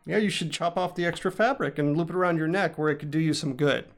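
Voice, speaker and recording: A man's voice: a little high, fast talker, lightly acted. A man playing an awkward, apologetic young college dropout in a modern audio drama, earnest and self-deprecating. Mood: wry, awkward.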